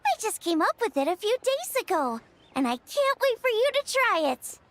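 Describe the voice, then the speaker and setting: A woman's voice: extremely high pitch, big pitch swings, normal speed, fairly bright tone, slight background noise, full character voice. A woman voicing a cheerful, confident young girl chef in a video game, chatty, bubbly and reassuring. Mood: upbeat, friendly. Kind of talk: English video game voice acting.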